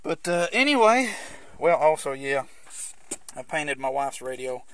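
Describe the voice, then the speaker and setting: A man's voice: a little high, big pitch swings, unhurried, fairly bright tone. A man gives an informal, conversational hands-on walkthrough as a hobbyist presenter, rambling a little as he explains things in and around a car.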